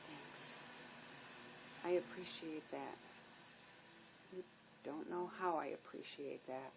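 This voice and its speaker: A woman's voice: sits low, takes it slowly, vocal fry, exaggerated voice. A woman acting a weary, grieving divorced mother in a drama, world-weary and subdued. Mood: weary, grateful. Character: grieving, weary divorced mother who lost her young son. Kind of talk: stage-style dramatic play acting. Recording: noisy amateur recording.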